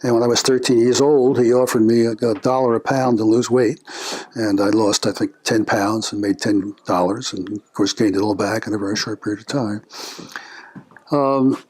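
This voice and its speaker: An older man being interviewed about his family, speaking in a reflective, unhurried way with frequent pauses and filler words. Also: fairly low pitch, fairly even intonation, a little mellow, breathy edge. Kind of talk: family oral history interview.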